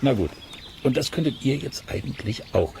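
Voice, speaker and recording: A man playing a curious, excitable, good-humoured explorer in a children's audio play, chattily exclaiming and muttering to himself. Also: mid-range voice, moderate pace, background noise, lightly acted. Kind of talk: German children's radio play acting.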